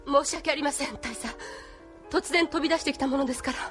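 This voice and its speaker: 女性声優がアニメのオーディオドラマで、礼儀正しく忠実な軍の女性部下を演じている。. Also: female voice, high voice, fast talker, over music, lightly acted. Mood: apologetic. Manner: courteous, formal. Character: courteous, dutiful female military subordinate. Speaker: voice actor. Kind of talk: anime audio drama acting.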